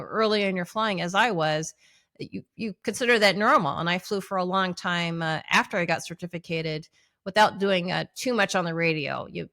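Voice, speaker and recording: Low-pitched woman in a casual interview. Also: fairly bright tone, dry recording, clean studio sound. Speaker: pilot and flight instructor guest. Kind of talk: casual interview, recounting flying experiences.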